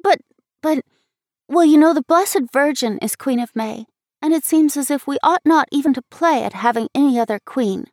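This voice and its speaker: A woman's voice: sits high, normal speed, darkish tone, dry recording, clean studio sound, voice pushed up. A woman voicing an earnest young schoolgirl with a petulant streak in a storybook reading, eager and emphatic. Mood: earnest, hesitant.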